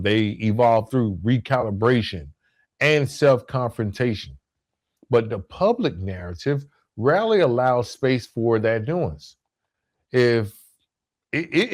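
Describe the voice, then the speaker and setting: A man's voice: mid-range voice, darkish tone. A man hosting a solo podcast, explaining his points in an emphatic, rhetorical way and repeating key phrases for weight. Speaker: podcast host.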